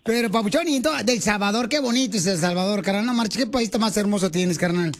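A man with a Mexican accent and a strikingly high-pitched voice. He hosts a boisterous, casual radio show. His talk is slangy, playful and full of teasing. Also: fast talker. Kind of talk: boisterous casual Spanish-language radio chat. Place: radio studio.

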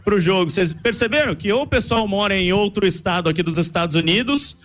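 A man with a high voice works the crowd outside a stadium as a live radio reporter. He talks in an easy, chatty way. His voice is recorded outdoors on a hand mic. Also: a bit bright. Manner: chatty, switches to slow careful English. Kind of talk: live street interviews.

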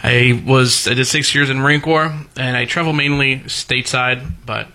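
A man's voice: medium pitch, steady tone, normal speed, pushed and bright. A man in his thirties from Brazil, a polite, plainspoken guest on a radio interview. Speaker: guest entrepreneur. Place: radio studio.

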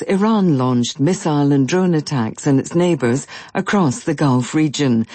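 A newsreader delivers a scripted bulletin in a medium-pitched voice. The tone is mellow and rather husky, recorded very dry and close. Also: no room sound.

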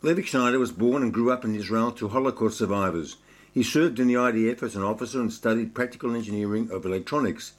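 Male show host, reads a formal intro briskly, plain mid-pitched voice. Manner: brisk, formal read-through. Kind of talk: scripted introduction read aloud.

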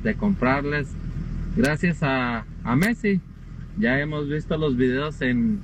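A man with a Mexican accent, talking in a slangy, teasing way in a casual caller's message. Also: mid-range voice. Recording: muffled lo-fi message with vehicle noise.

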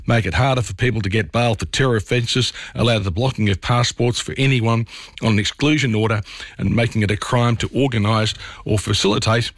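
A man's voice: on the low side, fairly bright tone, no echo, studio quality. A man with an Australian accent hosting an evening talk radio show, his voice slightly scratchy from a chest cold, talking listeners through the news in a conversational way. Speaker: radio host. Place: radio studio.

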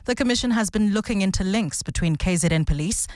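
A woman reading a radio news bulletin in a crisp, measured delivery. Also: medium pitch, expressive, a little mellow, no echo, studio quality. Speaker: newsreader.

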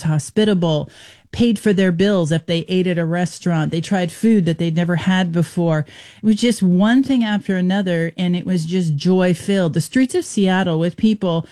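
A man hosting a radio show, lively and effusive as he talks with a guest. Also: sits high. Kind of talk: casual radio interview.

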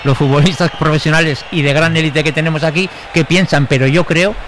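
Castilian spanish guy chatting football as a pundit, bit high voice, halting, some background noise. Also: fairly even intonation, a bit bright. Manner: halting, repeats words. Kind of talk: casual panel debate.

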